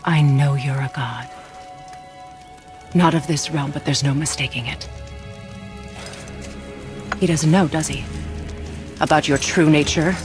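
A woman's voice: low-pitched, steady tone. Guarded forest witch, music behind. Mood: calm, knowing. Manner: measured, firm, cryptic. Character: guarded, solitary forest witch, knowing and calm. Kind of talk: cinematic video game acting.

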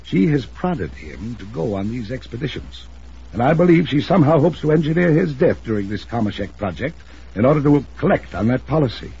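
A very husky, suspicious retired tycoon in a 1950s radio play. The voice is dark and rough, with blunt, formal delivery. The recording is dull and old. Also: male voice, mid-range voice, big pitch swings, fairly quick, exaggerated voice. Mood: suspicious, stern.

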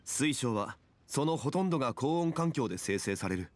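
Man playing a composed, dignified scholarly gentleman. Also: medium pitch, expressive, slight background noise, close to an everyday voice. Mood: explaining, dignified. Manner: measured, formal, authoritative. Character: composed, erudite gentleman consultant, calm and dignified. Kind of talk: anime game voice acting. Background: game music.

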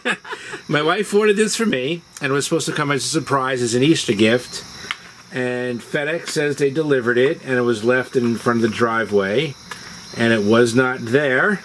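A man's voice: mid-range voice, normal speed, a bit bright. Man telling stories over faint insect noise. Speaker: video host. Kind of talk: casual storytelling. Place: outdoors on a house porch at dusk. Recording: outdoor noise, chirping insects. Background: crickets and insects.

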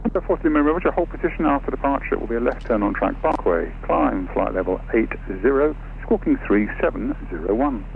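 Fast-talking male air traffic controller over radio. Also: mid-range voice, animated, gravelly. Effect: aviation radio. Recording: narrow crackly radio link, noisy.

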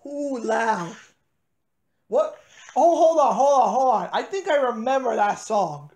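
Insistent, complaining mid-pitched voice on a call. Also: expressive, unhurried, darkish tone. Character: tired pigeon trying to get to sleep. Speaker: prank call participant playing a pigeon. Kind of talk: improvised phone skit.

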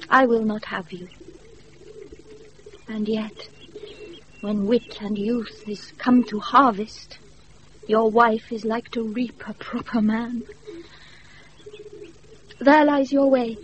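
An actress playing a proud young countess in love, in a declamatory, ardent classical Shakespearean radio style. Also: female voice, on the high side. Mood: wistful, dignified. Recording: muffled, noisy off-air radio recording.

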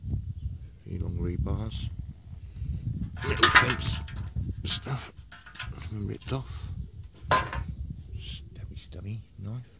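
A man's voice: deep voice, gravelly. A man casually describing his magnet fishing finds in short, laid-back fragments, recorded outdoors in a garden. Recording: noisy outdoor background.

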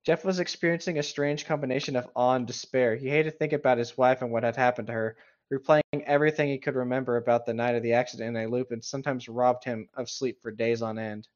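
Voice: medium pitch, steady tone, fast talker, a little mellow. Chatty co-host on a slightly dull mic. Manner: sweary, conversational. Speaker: co-host reading and mocking an online horror story. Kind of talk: casual read-aloud with chatty commentary.

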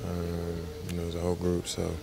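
A young man speaks after a basketball game in a locker room, very deep and nearly monotone, with a gravelly edge. He fills his answers with small fillers. Clear room noise sits behind him. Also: a little fast. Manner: steady answers with many fillers. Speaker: basketball player.

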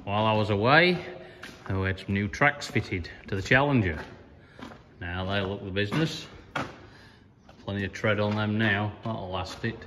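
A middle-aged man farmer giving a casual video diary, talking in a plain, unhurried way with many fillers, filmed on a phone held at arm's length. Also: lowish voice, long reverb tail, background noise. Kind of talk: casual farm vlog. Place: farm sheds and yard, indoors and outdoors.

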